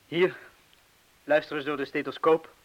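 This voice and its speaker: An actor playing a steady, protective bomb disposal man who gives terse instructions in a radio drama. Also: fairly high pitch, moderate pace, lightly acted, low-quality recording. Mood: calm, coaxing. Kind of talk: radio play acting. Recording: hissy old radio play recording.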